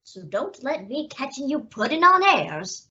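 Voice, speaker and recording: Woman acting a swooping, bright, scolding neighbor lady. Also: high-pitched, sing-song, a little slow, lo-fi sound, full character voice. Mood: teasing, mock-scolding. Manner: effusive, mock-scolding. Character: teasing, scolding, motherly older neighbor woman. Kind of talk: old-time radio drama acting.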